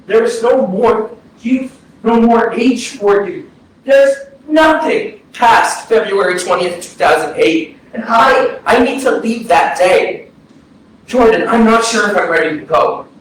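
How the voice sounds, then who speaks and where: High-voiced grieving brother, echoing male actor. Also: animated, unhurried. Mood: resolving. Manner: earnest, declamatory. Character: grieving, guilt-ridden brother, earnest and poetic. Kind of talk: staged play reading, dramatic monologue. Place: small arts-centre stage, distant mic. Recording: very echoey stage, distant mic, noisy.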